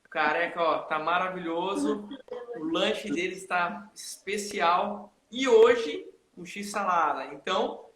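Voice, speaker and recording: A man hosting a live video chat who greets viewers by name and switches into halting English. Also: high-pitched, fairly bright tone, echoey room, a little hiss or hum, poor audio. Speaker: host.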